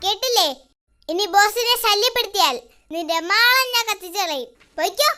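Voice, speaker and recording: A bossy little cartoon tomcat with an extremely high, squeaky voice over clear music. He is a hungry, demanding henchman in a children's animation, childlike in sound. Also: animated, normal speed. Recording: music behind the voice.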